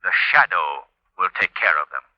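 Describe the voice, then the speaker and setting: An actor playing a suave crime-fighting avenger, speaking smoothly and deliberately in 1940s radio style. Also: male voice, sits low, sing-song, dry recording. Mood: menacing, scornful. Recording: dull, dry vintage radio recording.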